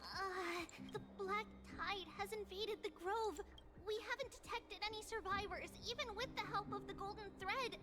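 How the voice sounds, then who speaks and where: A woman's voice: extremely high pitch, normal speed, loud music behind the voice. A woman voicing a small, earnest, childlike girl in an anime-style video game, with exclamations and worried stammers.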